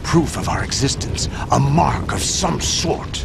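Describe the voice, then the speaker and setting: An actor playing a middle-aged cloned warlord, a grandiose rebel villain who speechifies solemnly in a video game cutscene. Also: male voice, medium pitch, lively intonation, unhurried, music in the background. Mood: brooding. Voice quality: gravelly. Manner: solemn, speechifying, rising to rallying cries. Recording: game cutscene with loud orchestral score.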